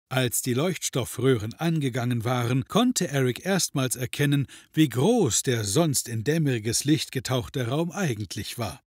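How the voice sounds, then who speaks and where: A man's voice: mid-range voice, slightly rough, no room sound, studio quality. A man narrating a scripted comedy audio drama in a wry, descriptive storytelling manner.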